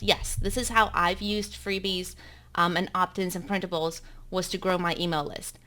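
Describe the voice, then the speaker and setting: A woman in her thirties with a South African accent, Afrikaans her first language, teaches blogging in a live webinar from her home. Her voice sits at a mid pitch, and she talks in a chatty, upbeat way, filling pauses with frequent ums. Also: a bit bright, clean tone.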